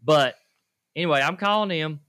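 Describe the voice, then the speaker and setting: A man's voice: fairly high pitch, moderate pace, fairly bright tone, clean tone. A man, co-hosting a podcast and telling self-deprecating stories with laughs. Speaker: podcast co-host. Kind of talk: casual podcast storytelling.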